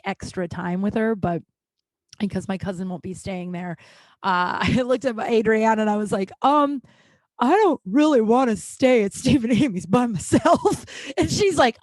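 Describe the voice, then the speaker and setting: A woman's voice: on the low side, gravelly, no room sound, studio quality. A woman in her late thirties hosting a solo podcast, rambling candidly and confessionally with plenty of swearing and restarts. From Denver, USA. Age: 30s. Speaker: podcast host.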